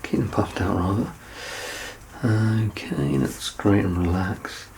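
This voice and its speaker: A man playing a doctor in an ASMR medical exam roleplay, soft-spoken and reassuring, with gentle teasing and close whispered passages. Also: deep voice, slow, background noise. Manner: slips into unvoiced whispering up close.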